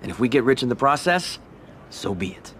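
A man playing an ambitious, composed young mobster in a video game cutscene, measured and businesslike. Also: mid-range voice, very animated, fairly bright tone, slight background noise. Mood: firm, driven. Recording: game audio with background ambience.

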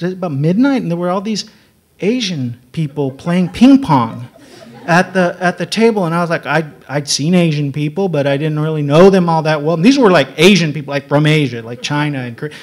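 Middle-aged man from Texas, panel storyteller; light, somewhat high voice, wry and self-mocking. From San Antonio, Texas. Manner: relaxed, self-mocking, laughs at himself. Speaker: panel writer. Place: gallery event room with audience. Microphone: table mic.

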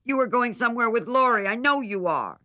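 A shrill little girl from a storybook, pleading and whining in a high, sing-song voice, as a man puts her on. Her pitch swoops up and down, and the sound is close and dry. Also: expressive, brisk, pushed and bright, dry recording, dull audio, heavily acted. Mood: pleading, petulant. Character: young girl, whiny and pleading.

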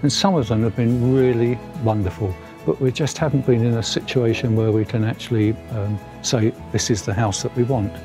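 A man's voice: mid-range voice, moderate pace, few pauses. Older male home buyer, dry teasing wit, casual outdoor chat over music. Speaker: house-hunting buyer. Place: outdoors on a country lane. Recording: music bed under speech.

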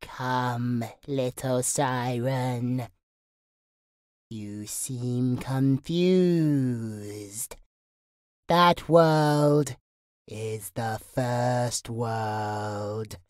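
A woman's voice: very deep voice, drawn-out words, a little mellow, no room sound, studio quality, exaggerated voice. A woman playing a gleeful, sinister demonic entity in a horror audio roleplay, taunting in a singsong, menacing way. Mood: slow taunting.

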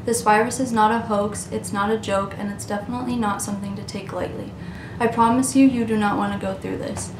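A woman's voice: mid-range voice, fairly even intonation, brisk. Earnest young woman in an echoey room. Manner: earnest, pleading. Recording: echoey room with ambient noise.

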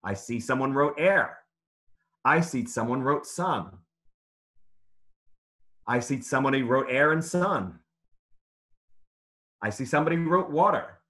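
A man's voice: mid-range voice, expressive, measured pace, long pauses, a bit bright. A man reading aloud and teaching young children in simple, encouraging, instructive phrases during an online lesson. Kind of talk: interactive children's read-aloud lesson over video call.

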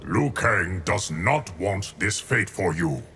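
An actor playing a stern, solemn, imperious fighter in a video game, speaking in a measured, formal way. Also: male voice, deep voice, animated, very noisy. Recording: loud music behind.

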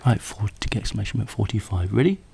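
A man's voice: lowish voice, lively intonation, fast talker, gravelly, darkish tone, slightly rough. A man giving a casual, unscripted tech demo, hesitant and thinking aloud as he goes. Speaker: presenter of a hardware prototype demo. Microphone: handheld camera microphone. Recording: noisy handheld camera recording with clicks.

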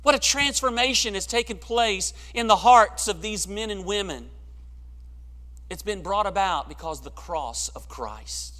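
A middle-aged man preaching a sermon in a church sanctuary, swelling to emphatic peaks and then dropping quiet and low. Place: church sanctuary, large room. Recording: long church reverb.

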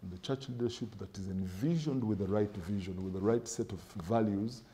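A middle-aged man with a low voice and a mellow, dark tone. He talks like a pastor on a studio set, measured and emphatic, building points in lists and quoting scripture. The sound is close and clean from a lapel mic. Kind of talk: preaching-style interview answer.

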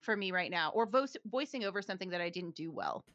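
A woman co-hosting a casual podcast chat, talking fast with lots of fillers and frequent laughs. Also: fairly low pitch, animated, a bit bright, no echo, muffled recording. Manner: chatty, laughs often, many fillers. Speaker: podcast co-host, streamer.

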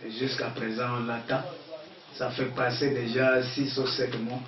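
Casting: Congolese man, sixties, local official being interviewed, mid-pitched, in an echoey small office. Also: little pitch movement, normal speed. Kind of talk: interview answers. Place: small bare wooden-walled office. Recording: distant muffled echoey room, background noise.

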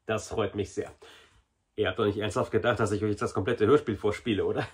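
Male, 40s, slightly rough-edged voice, informal vlog reviewer, chatty and familiar with viewers. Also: fairly low pitch, steady tone, quick pace. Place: small indoor hobby room.

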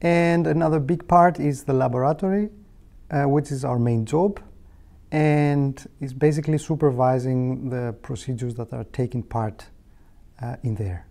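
A man in his thirties with a Greek accent, a native Greek speaker, explaining his job in a hesitant, measured interview style. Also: medium pitch, steady tone, creaky, mellow. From Greece. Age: 30s. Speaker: technical head of a materials laboratory. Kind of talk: informal career interview monologue. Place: indoor meeting room. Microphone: lapel.

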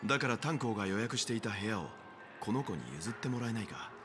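Composed male game companion, acted by a man, slightly low pitch, reasoning delivery, music behind. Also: fast, lightly acted. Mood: calm, thoughtful. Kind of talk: Japanese video game voice acting.

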